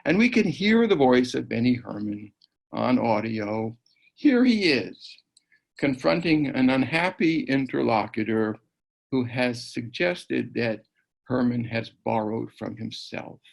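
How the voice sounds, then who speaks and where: Gravelly man narrating a documentary. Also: medium pitch, lively intonation, takes it slowly, poor audio. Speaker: film narrator and commentator.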